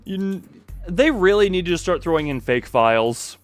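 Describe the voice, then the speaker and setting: A man's voice: sits high, expressive, moderate pace. Irreverent male game streamer, music behind. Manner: irreverent joking, sweary. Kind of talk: casual live-stream commentary while reading game dialogue.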